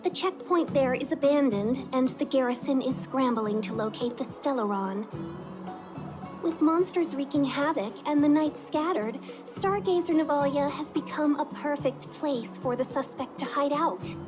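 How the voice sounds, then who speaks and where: A high, youthful female voice from an actress plays a poised fox-woman guide in a video game. She is polished and courteous, with a playful, teasing edge. Music plays clearly behind her. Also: moderate pace, natural voice. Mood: briskly explanatory. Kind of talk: video game cutscene voice acting.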